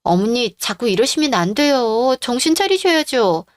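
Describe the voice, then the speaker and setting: A woman's voice: a little high, very animated, moderate pace, no echo, studio quality. A woman playing a devoted, patient middle-aged daughter-in-law and mother in a radio-drama style story. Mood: pleading, reassuring.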